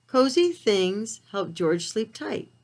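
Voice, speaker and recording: A woman reading a picture book aloud to young children, expressive and talking to them in simple, child-directed language. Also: mid-range voice, slow pace. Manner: expressive storytelling delivery. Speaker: preschool read-aloud storyteller.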